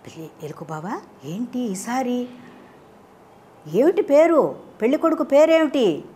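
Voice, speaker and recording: A woman's voice: on the high side, big pitch swings, a little slow, warm tone, voice pushed up. A woman voicing a suspicious, bossy cat in a children's story, questioning and insistent. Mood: probing.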